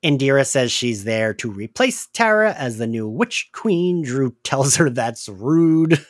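A man co-hosting a casual recap chat, talking in an animated, conversational way with the odd swear word. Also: medium pitch, a little slow, no echo, studio quality. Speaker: podcast co-host.

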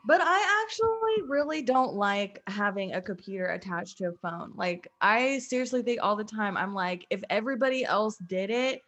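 A young American woman chatting casually in a group podcast, informal and quick to swear. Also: medium pitch, lively intonation, a bit bright. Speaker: podcast host and performer.

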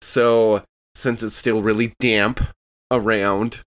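A man's voice: on the low side, flat delivery, slow pace, no room sound. A man talking casually as a player in a tabletop game, thinking aloud as he describes his moves. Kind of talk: casual improvised game talk.

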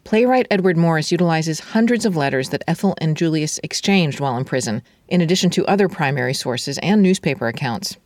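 A woman's voice: deep voice, lively intonation, a little fast, few pauses, darkish tone, no room sound, clean studio sound. A woman announcer reading a scripted introduction to a podcast drama.

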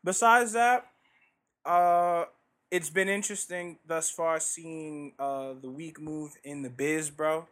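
A man co-hosting a podcast in casual conversation, loud and animated, full of slang. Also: on the high side, slow pace. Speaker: podcast co-host.

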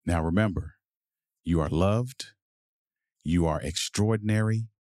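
A man hosting a podcast and reading a children's picture book aloud in a measured, deliberate bedtime-story delivery. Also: low-pitched, a little mellow, no room sound, clean studio sound.

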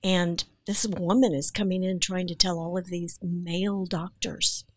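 A woman with a somewhat low voice, speaking with animated, emphatic earnestness. The sound is dry and close to the mic. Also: measured pace, no room sound. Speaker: actress. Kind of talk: podcast interview.

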